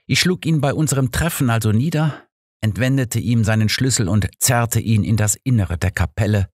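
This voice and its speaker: A man voicing an embittered woman who makes a soft, measured confession of murder in an audiobook. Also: medium pitch, no room sound, clean studio sound, close to an everyday voice. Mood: grim, confessional.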